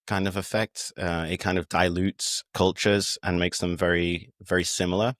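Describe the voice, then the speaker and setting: A man's voice: low-pitched, flat delivery, moderate pace, a bit bright, no echo, studio quality. A man from the United Kingdom, a podcast guest, talking in a reflective, casual way with fillers and restarts. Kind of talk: casual conversation.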